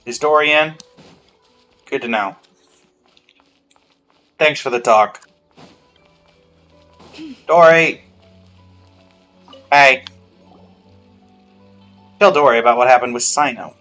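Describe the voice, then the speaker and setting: A woman's voice: extremely low pitch, takes it slowly, long pauses, a bit bright, faint music behind. A woman streaming a game reaction, reading along and quipping in a casual, playful, offhand way.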